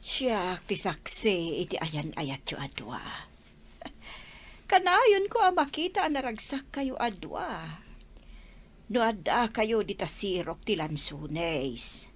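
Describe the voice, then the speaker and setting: A woman's voice: medium pitch, sing-song, slow. A woman playing a fond, motherly older aunt from the countryside in a radio drama. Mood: fond, reminiscing. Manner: affectionate, motherly. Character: fond older aunt living on her farm.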